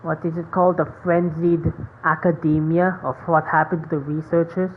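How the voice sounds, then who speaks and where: A woman comments casually on a game she is playing, halting as she thinks aloud. Her voice is fairly low, and the recording is dull with faint noise behind it. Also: level tone. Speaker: game streamer.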